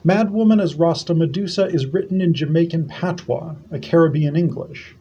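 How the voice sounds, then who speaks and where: A man presenting an academic conference paper, reading it in a measured and formal way. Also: fairly high pitch, dark tone. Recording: dull lo-fi audio.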